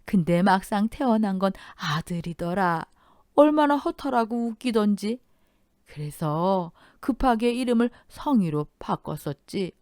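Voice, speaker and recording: A woman voicing a frail, dying old mother-in-law in a Korean radio story, tender and sentimental, with slow, drawn-out reminiscing. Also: medium pitch, lively intonation, a little mellow, no room sound, studio quality, voice pushed up, natural voice. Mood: wistful, dreamy.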